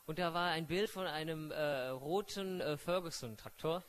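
High-voiced male sermon interpreter. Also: normal speed, a bit bright, a little hiss or hum. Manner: fluent paraphrasing with frequent fillers and self-corrections.

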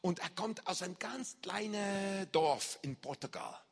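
A man preaching and telling a story from his own life, acting out shouts and reactions, speaking German with non-native grammar. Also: sits high, unhurried, slightly rough, long reverb tail. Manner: animated, acts out shouts and reactions. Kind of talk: sermon testimony, storytelling.

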